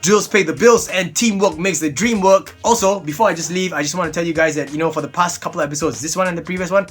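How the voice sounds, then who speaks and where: A man's voice: on the high side, fast talker, breathy edge, faint music behind. Upbeat man vlogging at desk. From Singapore. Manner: chatty and upbeat, repeats "really, really". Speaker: host of a toy blaster review channel. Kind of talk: casual product review vlog. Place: small indoor room, seated at a desk.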